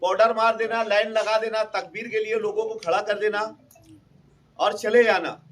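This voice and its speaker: A man gives an off-the-cuff speech at a community meeting in a high-pitched voice. He presses his points insistently and repeats them. The sound is distant from the microphone. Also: steady tone, moderate pace. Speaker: community member speaking at a public meeting. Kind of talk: impromptu public meeting speech.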